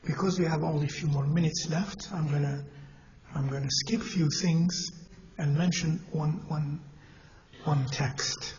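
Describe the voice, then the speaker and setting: A man teaching a congregation in a mosque, speaking in a measured, halting way with pauses and self-corrections, and putting questions to his listeners. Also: a little high, fairly even intonation, darkish tone, highs cut off, a little hiss or hum. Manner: asks the audience questions as he teaches. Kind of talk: Islamic lecture to a congregation. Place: mosque hall.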